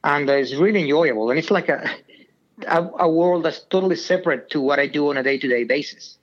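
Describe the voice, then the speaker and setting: A man's voice: on the high side, brisk. Hesitant middle-aged man on a dull line. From Venezuela. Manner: hesitant, with many "uh" and "um" fillers. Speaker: podcast guest on a phone line. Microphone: phone line.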